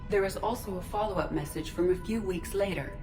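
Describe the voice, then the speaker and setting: Casting: woman as composed game AI, lowish voice, formal precise delivery, music behind. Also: brisk, natural voice. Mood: calm, analytical. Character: composed, formal, helpful female artificial intelligence. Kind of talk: video game voice acting. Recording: music under the voice.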